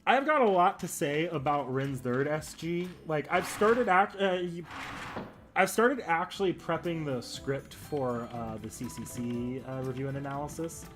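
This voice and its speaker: A man's voice: a little high, measured pace, fairly bright tone, faint music behind. A man chatting casually while streaming a game. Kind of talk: casual chat while gaming.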